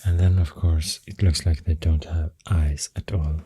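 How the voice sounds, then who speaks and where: Breathy, hushed Swedish-accented man. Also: extremely low pitch, steady tone, measured pace. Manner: hushed, breathy, half-whispered. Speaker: ASMR host. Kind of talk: soft-spoken ASMR rambling. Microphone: close ASMR microphone.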